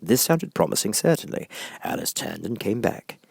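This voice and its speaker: A man reading a storybook aloud as an expressive audiobook narrator. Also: on the low side, normal speed, gravelly, husky, dry recording, clean studio sound.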